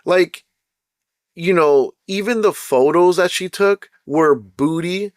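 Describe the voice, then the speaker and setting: Host, man, casual blunt rant, slightly high voice, hoarse and fading, dry close sound. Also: takes it slowly, dry recording. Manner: blunt, emphatic, repeats points. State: losing his voice, throat-clearing. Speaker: channel host. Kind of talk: casual ranting commentary.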